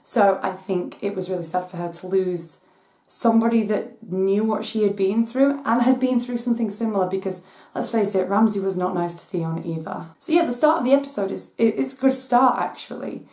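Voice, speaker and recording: Croaky-voiced woman ranting. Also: brisk, a little mellow, reverberant. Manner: exasperated, voice cracking high at times. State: hoarse from voice loss. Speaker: channel host. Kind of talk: casual rant vlog.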